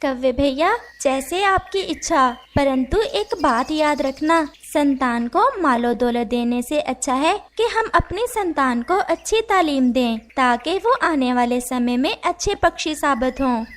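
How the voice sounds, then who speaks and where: A woman voicing a kind female sparrow who gives polite, gentle advice. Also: sits high, a little slow, few pauses. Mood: advising.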